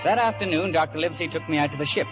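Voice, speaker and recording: A man's voice: fairly high pitch, racing, natural voice, poor audio. A male actor playing a youthful adventurer narrating his own sea story in a scripted radio drama. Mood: wistful, eager. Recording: old radio broadcast, loud music underneath.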